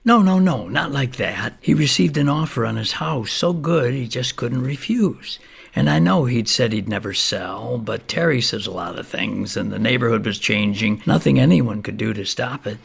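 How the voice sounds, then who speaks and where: Very gravelly, folksy neighborhood storyteller. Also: male voice, medium pitch, moderate pace. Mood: defensive. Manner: folksy, colloquial, emphatic. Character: folksy neighborhood homeowner, plainspoken, wary yet easily won over. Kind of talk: conversational storytelling monologue.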